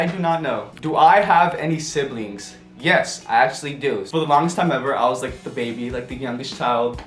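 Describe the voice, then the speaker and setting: A man's voice: mid-range voice, fairly bright tone, reverberant. A young man in his late teens chatting casually and playfully, answering questions about himself to camera. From United States. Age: young adult. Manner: bubbly and playful, quick laughs and jokey asides. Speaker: social media influencer.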